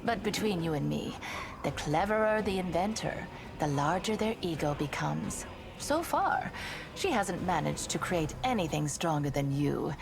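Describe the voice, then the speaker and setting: A woman's voice: low-pitched, lively intonation, measured pace, vocal fry, noisy background, exaggerated voice. A woman voicing a sly, mocking female demon in a video game, theatrical, self-assured and mockingly polite. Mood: smooth, condescending.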